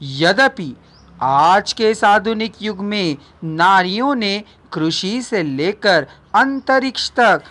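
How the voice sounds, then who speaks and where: A woman narrating a non-fiction book as a scripted reading, deliberate and formal, pausing between phrases. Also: medium pitch, lively intonation, a bit bright. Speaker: audiobook narrator.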